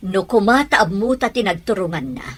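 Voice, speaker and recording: A woman playing a concerned, sympathetic mother in an Ilocano audio drama, with a husky voice. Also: mid-range voice, expressive, brisk, a bit bright. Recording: music behind the voice.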